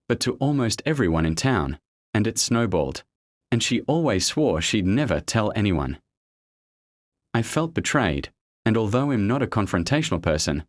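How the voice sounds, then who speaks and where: A man reading out a first-person online story as a steady narrator, spelling out abbreviations letter by letter. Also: on the low side, no room sound.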